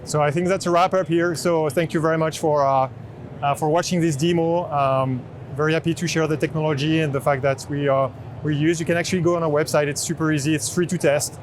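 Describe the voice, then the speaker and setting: A man gives a spontaneous product demo in an explanatory, halting manner. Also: on the high side, fairly even intonation. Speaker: chief product officer giving a product demo. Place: indoor trade show booth in large exhibition hall. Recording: busy convention hall background noise. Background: exhibition hall noise.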